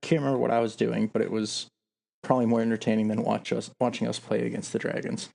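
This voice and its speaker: A man's voice: medium pitch, flat delivery, fast talker, vocal fry, darkish tone. A man co-hosting a podcast in casual banter, joking in a dry, self-deprecating way. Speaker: podcast co-host.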